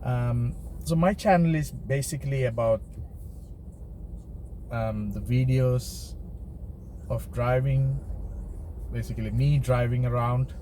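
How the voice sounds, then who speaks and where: Road and engine noise fills a car interior as a man talks to his viewers. His delivery is slow and hesitant, with pauses and restarts, in a medium-pitched voice. It is a casual, unscripted driving vlog. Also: low-quality recording. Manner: halting and hesitant, trailing into pauses. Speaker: driving vlogger. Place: inside a car while driving. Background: steady car and traffic noise.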